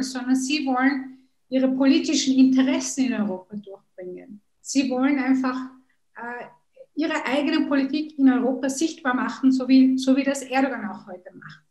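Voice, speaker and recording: A woman's voice: high voice, a little mellow, reverberant, poor audio. A woman in her thirties whose first language is Kurdish, speaking earnestly on a video call with frequent hesitations. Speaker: politician, interview guest. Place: room at home.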